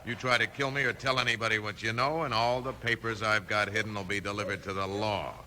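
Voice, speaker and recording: An actor playing a cold, power-hungry outlaw boss in a 1950s radio western, commanding and menacing. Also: male voice, lowish voice, steady tone, fast, bright, forceful tone. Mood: cold threatening. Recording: noisy 1950s radio recording.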